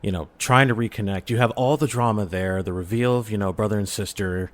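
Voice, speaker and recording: Man, middle-aged, lowish pitch, casual podcast storyteller, enthusiastic delivery. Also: fairly quick. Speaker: podcast guest, audio drama creator. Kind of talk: casual chat, personal storytelling.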